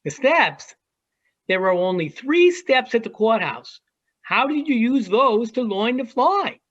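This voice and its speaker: A dull, lo-fi recording of a woman playing a worldly seagull in a stage comedy. The bird teases in slow, drawn-out phrases, with pitch that swings widely. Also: big pitch swings. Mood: teasing. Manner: teasing, drawn-out delivery. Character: teasing, worldly adult seagull perched high above the city. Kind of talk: amateur virtual one-act play acting. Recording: dull lo-fi video call audio.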